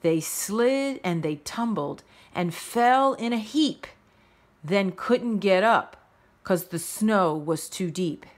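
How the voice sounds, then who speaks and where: A woman narrates a children's picture book in rhyme. Her pace is very unhurried and expressive, with a medium-pitched voice. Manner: measured, expressive storytelling. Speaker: storybook reader.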